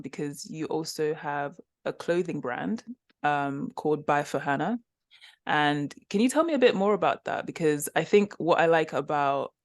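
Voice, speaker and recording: A woman's voice: deep voice, dry recording. Chatty young woman hosting a podcast. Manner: warm, chatty, uses like and um. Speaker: podcast host. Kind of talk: casual interview chat.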